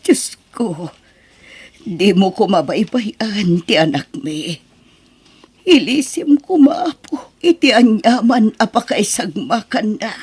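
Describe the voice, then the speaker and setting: A woman's voice: mid-range voice, animated, slow pace, a little mellow, husky, full character voice. A woman with an Ilocano accent playing a worried, devout mother who pleads over her wayward son in an audio drama. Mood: worried, pleading. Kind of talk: melodramatic Ilocano radio-style drama acting.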